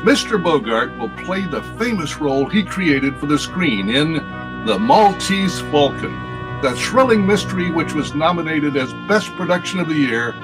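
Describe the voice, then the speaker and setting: A man's voice: fairly high pitch, animated, moderate pace, low-quality recording. A man announcing a radio program in a formal, grand, declamatory 1940s style. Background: music.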